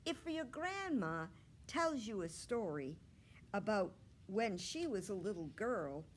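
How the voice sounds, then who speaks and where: A woman's voice: mid-range voice, sing-song, slow, a little hiss or hum, voice pushed up. A woman reading aloud as a patient, pleasant female primary school teacher, putting questions to a class in an instructive way. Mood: pleasant, prompting.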